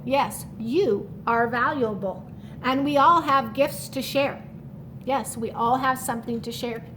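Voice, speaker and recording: A woman reading a picture book aloud to young children, pausing to explain it simply and speaking to the listener in an encouraging way. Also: high-pitched, fairly bright tone, slight background noise, rough recording. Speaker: storytime reader for children.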